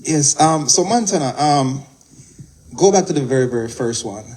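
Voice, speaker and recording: A man's voice: a little high, lively intonation, normal speed, darkish tone, rough recording. A man interviewing guests, asking long rambling questions. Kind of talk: casual interview.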